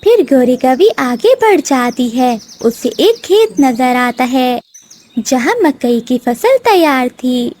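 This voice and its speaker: A woman's voice: high-pitched, expressive, slow, darkish tone. A woman narrating a scripted children's cartoon story.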